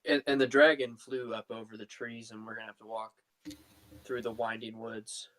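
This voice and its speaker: A man's voice: medium pitch, level tone, moderate pace, a bit bright, low-quality recording. A man playing a tabletop role-playing game, discussing the next move casually.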